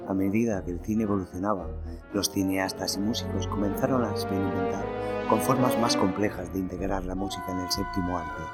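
A man reading a horror story aloud in a slow, theatrical way, then presenting notes on film music. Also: sits low, fairly even intonation. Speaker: narrator and podcast host. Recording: narration over loud music.

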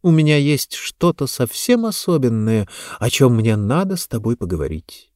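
A man's voice: mid-range voice, very animated, moderate pace, a little mellow, dry recording, clean studio sound, close to an everyday voice. A man voicing a sheltered young woman of twenty, earnest and coaxing, in a novel reading. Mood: affectionate.

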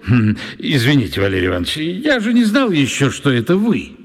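Gruff sea dog in deep reverb. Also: male voice, very animated, a little fast. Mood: grumbling, sheepish. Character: gruff hot-tempered seafaring man, awkwardly respectful. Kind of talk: radio drama acting. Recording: long hall-like reverb.

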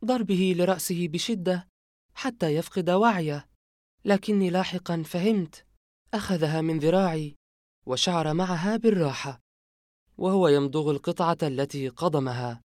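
A narrator voicing a mocking young woman in a horror audiobook, scornful and cold as she confesses a dark secret. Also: medium pitch, moderate pace, dry recording, clean studio sound, pitched above the performer's own voice, natural voice. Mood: confessing.

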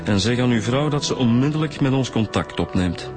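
An actor with a Belgian Dutch accent playing an informal junior homicide detective in a radio drama, casual and sometimes halting. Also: male voice, mid-range voice, animated, over music, lightly acted. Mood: businesslike.

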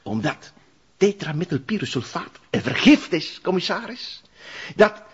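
Casting: a high-voiced, swooping Flemish forensic expert, jovial, pedantic oddball, radio drama. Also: male voice, very animated, a little slow, husky, heavily acted. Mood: pedantic, excited. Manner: theatrical, rambling, repeats his words, pedantic. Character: eccentric, jovial male forensic expert, savouring a murder case. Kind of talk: audio drama acting. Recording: dull, muffled lo-fi recording.

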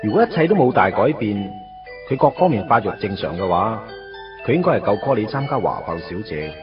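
A wry young Hong Kong man in a Cantonese radio drama, self-mocking and conversational. His intonation is lively and wide-ranging. Music plays behind his slightly dull-sounding voice. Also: fairly low pitch, brisk. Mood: wry, wistful. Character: wry, put-upon young man recalling his first love. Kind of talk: radio drama narration and dialogue.

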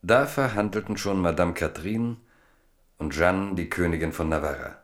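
Male narrator for radio drama: very low voice, measured literary delivery. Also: expressive. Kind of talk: scripted narration.

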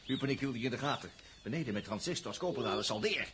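A man's voice: mid-range voice, lively intonation, racing, a bit bright, close to an everyday voice. An actor playing a flippant, teasing male spacecraft crewman in a 1960s radio drama, with a Netherlands Dutch accent. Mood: accusing. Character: Jaap, mocking joking spacecraft crewman. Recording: noisy old radio drama recording.

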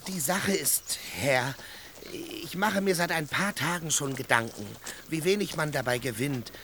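An actor in a German radio play as a loyal, practical peasant squire, plain-spoken and respectfully doubting. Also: male voice, a little high, unhurried, pushed and bright, a little hiss or hum. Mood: pondering, coaxing.

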